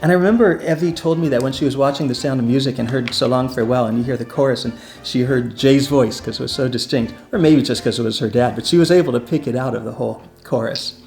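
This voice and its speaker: Guy chatting like a big fan, casual storytelling, mid pitch, soft music behind. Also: fast, hardly any pauses. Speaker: presenter and storyteller. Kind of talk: casual storytelling about theme park history.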